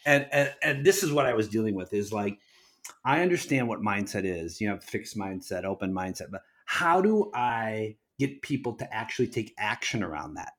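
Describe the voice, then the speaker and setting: A man's voice: fairly low pitch, lively intonation, moderate pace, fairly bright tone. A man reading an essay aloud as a podcast guest, in an earnest, emphatic way. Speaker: guest reader.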